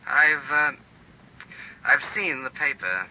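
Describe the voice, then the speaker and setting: An actor playing a cautious friend on the phone, in 1940s radio drama style, hesitant and reluctant. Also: male voice, on the high side, lively intonation, sharp tone, a little hiss or hum, heavily acted, low-quality recording. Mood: guarded. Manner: guarded and hesitant, slow and reluctant.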